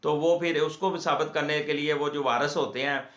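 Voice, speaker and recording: A man's voice: fairly high pitch, steady tone, normal speed, fairly bright tone. Pakistani man explaining in an echoey room. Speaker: host of a legal advice channel, lawyer. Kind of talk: informal legal explainer to camera.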